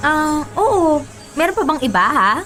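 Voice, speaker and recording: A sweet, easily worried young bride-to-be in a radio drama. Her voice is high, girlish and expressive, over clearly audible music. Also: unhurried, heavily acted. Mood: excited, friendly. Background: music bed.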